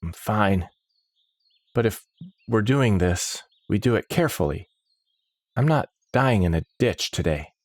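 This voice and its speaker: A man's voice: lively intonation, measured pace, long pauses, dry recording. Guy doing a nervous young recruit, tight strained voice, dry close mic. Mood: resolute. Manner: anxious, questioning, higher and strained. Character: shaken young male recruit, wary and tense.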